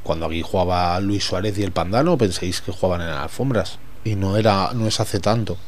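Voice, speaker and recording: A man's voice: low voice, brisk, slight background noise. A man, talking as a sports pundit in a casual panel, blunt and opinionated.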